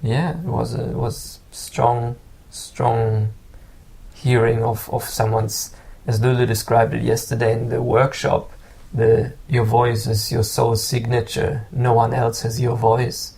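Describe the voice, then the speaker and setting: A man speaks English in a fairly flat, even tone. His voice has a gravelly fry. He talks haltingly and often repeats a word before he goes on. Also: mid-range voice. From Germany. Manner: halting, repeats words while searching for them. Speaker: guest musician. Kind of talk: casual interview talk.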